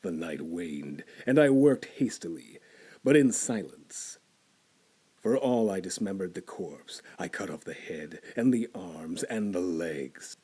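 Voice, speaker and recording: A male storyteller reads a classic horror tale in a slow, deliberate way. His voice sits low and dark, with a husky grain. His delivery is theatrical, sinking to hushed whispers and climbing into tense, intense bursts. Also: expressive.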